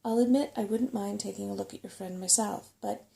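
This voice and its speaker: A woman's voice: medium pitch, fairly even intonation, brisk, vocal fry, dark tone, slight background noise. A woman voicing a self-satisfied old male rat, a chatty and cautious know-it-all, in a bedtime story. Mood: knowing, curious.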